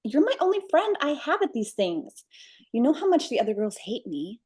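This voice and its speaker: A woman plays a pushy, needy young beauty queen in a script read. The voice is raspy and fairly high, with lively swings. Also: normal speed. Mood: impatient. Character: bossy, needy young female pageant champion. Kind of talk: screenplay table read acting.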